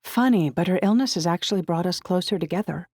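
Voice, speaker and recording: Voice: mid-range voice, fast, a little mellow, husky, dry recording, clean studio sound, voice pushed up. A narrator voicing an upbeat, friendly teenage girl with a breezy, chatty manner in an audiobook.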